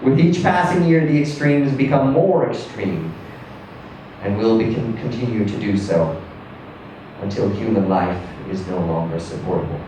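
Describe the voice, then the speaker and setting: A man reading a literary short story aloud in a theatrical, emphatic manner, with deliberate pauses and swells. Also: fairly low pitch, animated. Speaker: story reader. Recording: distant echoey room with faint noise.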